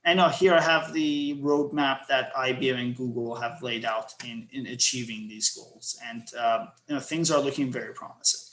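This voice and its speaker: A man giving a technical lecture over a video call, pausing often with fillers. His voice sits in a noticeably roomy, echoing space. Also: mid-range voice, steady tone, a bit bright. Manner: hesitant, many fillers. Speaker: guest lecturer. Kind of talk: online lecture on quantum hardware. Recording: roomy echo.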